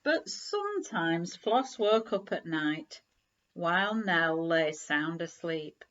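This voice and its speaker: Woman reading a storybook, slow and very expressive. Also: on the low side. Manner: measured, animated storytelling. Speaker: story reader. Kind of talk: expressive children's storybook read-aloud. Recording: slightly dull, lo-fi recording.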